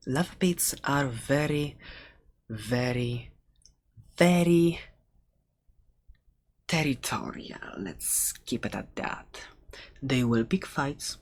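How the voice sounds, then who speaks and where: A woman's voice: a bass voice, animated, extremely slow, pauses often, heavily acted. A woman playing a chatty, quirky dragon egg seller in an ASMR roleplay, talking in a hesitant, playful, conversational way close to the microphone. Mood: mock-serious. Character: chatty, quirky seller of dragon eggs, fond of dangerous pets. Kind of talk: ASMR roleplay, casual rambling talk.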